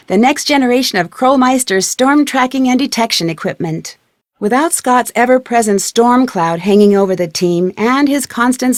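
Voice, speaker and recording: An actress voices a young American woman sleuth in a video game. Her voice sits a little high and is recorded very dry. She narrates in a composed, plucky, scripted manner. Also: dry recording, close to an everyday voice. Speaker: detective heroine. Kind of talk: scripted game narration, letter read aloud.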